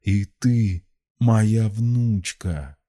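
Man acting a slow, anguished old grandfather. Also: on the low side, warm tone, pitched above the performer's own voice. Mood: anguished reproach. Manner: slow, pained, halting speech.

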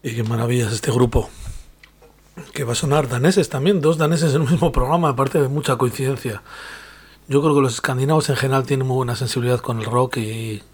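A man's voice: medium pitch, fairly quick, husky. A man hosting a radio music show, talking casually about bands, rambling and tripping over band names.

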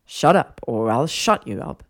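A woman's voice: very deep voice, sing-song, a little slow, pitched below the performer's own voice, heavily acted. A woman voicing a cocky, easily stung young man with a smug drawl in a story reading. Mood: teasing. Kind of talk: fan fiction audiobook dialogue.

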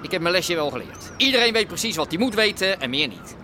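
An actor playing a bossy, distrustful underworld boss in a Dutch radio drama, curt and impatient. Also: male voice, high voice, sing-song, fast, noisy background. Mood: firm, curt.

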